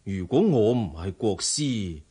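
Serene monk, slow, husky and expressive. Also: male voice, lowish voice, full character voice. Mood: calm, assured. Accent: Hong Kong Cantonese. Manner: measured, preaching, composed. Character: serene, dignified male Buddhist monk, imperial teacher. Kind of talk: Cantonese radio drama acting.

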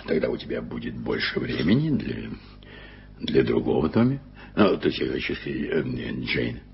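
A fussy, flustered old bachelor. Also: male voice, extremely low pitch, sing-song, normal speed, creaky, husky, exaggerated voice. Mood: awkward. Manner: blustering, then awkward and hesitant. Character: Peter Hope, a flustered, fussy older bachelor. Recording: dull vintage radio recording with music.

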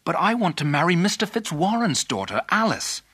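Man playing an eager, high-voiced young storybook hero. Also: big pitch swings, normal speed, sharp tone, breathy edge, dry recording, exaggerated voice. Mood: polite. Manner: polite, eager storybook delivery. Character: earnest, courteous, hopeful poor young man.

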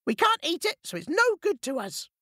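A cheeky storybook monkey with a squeaky high voice, quick and indignant, as a man puts him on. The delivery leaps around and sounds close and dry. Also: huge pitch swings, sharp tone, no room sound, full character voice. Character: cheeky complaining male monkey.

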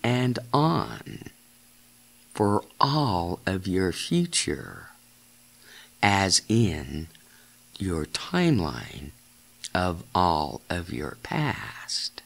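Very slow, low-pitched, creaky man. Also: wildly swooping pitch. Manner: deliberate, halting phrasing with repeated instructions. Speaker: guided imagery narrator. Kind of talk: slow guided meditation.

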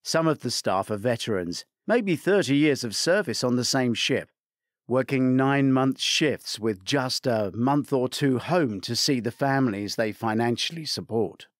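A man's voice: medium pitch, lively intonation, no room sound. Male narrator, scripted reading, theatrical, dry studio sound. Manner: measured, theatrical reading that lifts for quoted commands. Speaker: audiobook narrator.

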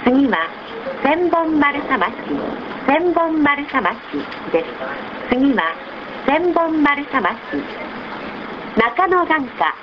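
High-voiced woman announcer over muffled bus speaker. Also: animated. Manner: polite, measured, formal phrasing. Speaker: recorded bus stop announcer. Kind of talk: scripted public transit announcement. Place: inside a city bus, played over its speaker. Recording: muffled noisy old recorder, distant bus speaker. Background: loud bus engine and vehicle rumble.

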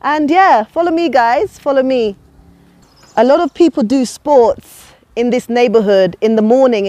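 A woman's voice: fairly high pitch, darkish tone. A woman in her thirties, talking casually and persuasively to viewers while walking outdoors with a lapel mic. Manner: chatty, persuasive, addresses viewers as guys. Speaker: vlogger. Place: outdoors on a quiet suburban street. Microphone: clip-on lapel mic.

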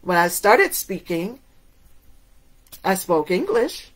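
Slow, emphatic woman preaching to camera. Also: fairly low pitch, very animated, low-quality recording. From Cuba. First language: Spanish. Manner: emphatic, rhetorical questions, drawn-out pauses. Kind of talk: sermon to camera. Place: indoors in front of a waterfall backdrop.

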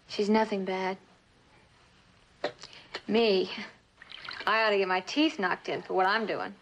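An actress playing a tough young American drifter, slangy, defensive and wisecracking, in a mid-century crime drama. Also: female voice, medium pitch, steady tone, takes it slowly, fairly bright tone, slight background noise. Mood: defiant, sullen. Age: young adult. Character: tough, defiant young female drifter with petty-crime record.

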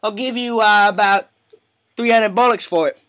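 A man's voice: sits high, normal speed, fairly bright tone, dry recording, clean studio sound. A man voicing a pushy, hesitant British immigrant on a prank phone call, with a put-on accent and wheedling, rambling manner. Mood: pleading, insistent.

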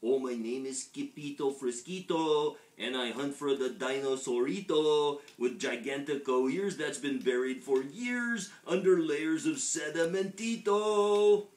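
A man voicing an excitable little kitten boy who plays a boastful Chihuahua hero, theatrical, with a put-on Spanish accent. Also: fairly high pitch, a little slow, echoey room, far from the mic, pitched above the performer's own voice, full character voice. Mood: proud. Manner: theatrical, declaiming and chanting.

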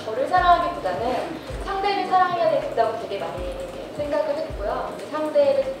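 A woman in her twenties at a press event, speaking thoughtfully and softly into a handheld mic. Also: sits high, lively intonation, fairly quick, noisy background. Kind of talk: press interview answers. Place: indoor press conference hall. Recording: echoey hall from far off.

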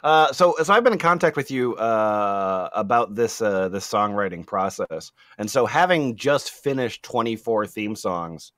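A male podcast host with a very lively, swinging pitch. He banters casually and teases wryly in a close, dry recording. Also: a little slow, few pauses, no room sound.

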